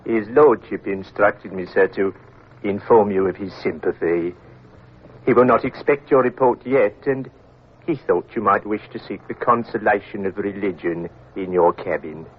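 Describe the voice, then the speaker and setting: An actor playing a hesitant, sanctimonious admiral's clerk in a 1950s radio drama. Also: male voice, fairly low pitch, normal speed, slightly rough. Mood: solemn. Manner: hesitant, hedging, quoting scripture. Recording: tinny old radio broadcast.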